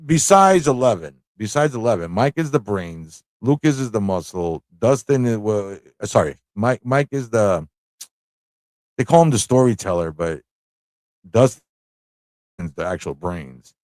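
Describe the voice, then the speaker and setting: A man's voice: fairly low pitch, sing-song, unhurried, darkish tone, dry recording. A man hosting a livestream fan chat, talking in a rambling, informal way with frequent rhetorical tag questions. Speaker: livestream talk show host.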